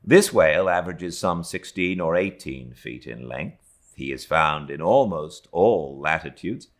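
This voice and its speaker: A man with a British accent and a deep voice reads classic literature aloud. He takes his time, moving through the long sentences slowly. His delivery is theatrical, with pitch that rises and falls in a lively way. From Britain. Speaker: audiobook narrator. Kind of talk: literary audiobook narration.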